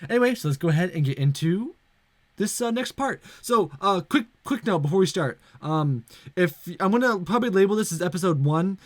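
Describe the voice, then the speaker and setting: Animated, higher-pitched man doing let's play commentary. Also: a little fast, no room sound. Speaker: YouTube let's play host. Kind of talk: casual let's play commentary, reading visual novel text aloud. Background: game music.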